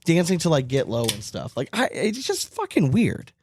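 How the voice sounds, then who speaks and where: A man's voice: on the high side, wildly swooping pitch, moderate pace, a little mellow, a little hiss or hum. An American man co-hosting a podcast in casual, rambling talk, often leaning on filler words like "like". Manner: rambling, informal, frequent "like". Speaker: podcast co-host. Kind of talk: casual chat.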